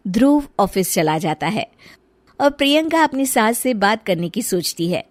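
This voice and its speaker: A woman's voice: mid-range voice, very animated, moderate pace, no echo. A woman reading scripted narration for a story, as the narrator.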